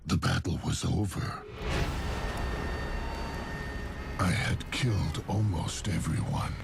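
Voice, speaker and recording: An actor playing a sadistic psychotic killer in a video game, narrating darkly and savoring it with a gravelly, menacing voice. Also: male voice, sits low, loud music behind the voice. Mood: brooding obsession. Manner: slow, savoring, sinister. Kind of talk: dark scripted game cutscene narration.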